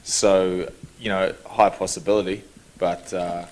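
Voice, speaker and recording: A young man, answering reporters' questions in an easygoing, relaxed way at a media day. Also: low voice, measured pace, poor audio. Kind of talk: press scrum answers.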